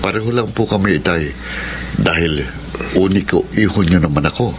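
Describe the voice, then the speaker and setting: A man's voice: deep voice, moderate pace, background noise, close to an everyday voice. An actor playing a humble, earnest young man in love, with a hesitant manner and a Filipino accent, in a radio drama. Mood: modest.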